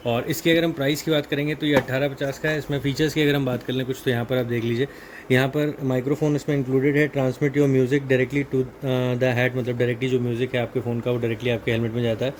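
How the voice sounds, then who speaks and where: A man's voice: medium pitch, noisy background. A man with an Indian accent giving a casual, explanatory product walkthrough, mixing in English technical terms. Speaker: product reviewer.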